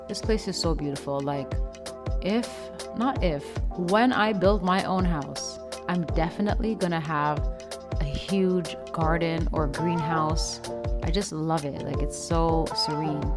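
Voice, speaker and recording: A young woman, giving a chatty, playful and expressive casual vlog voice-over. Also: low voice, measured pace. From Canada. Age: young adult. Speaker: vlogger, food reviewer. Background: background music.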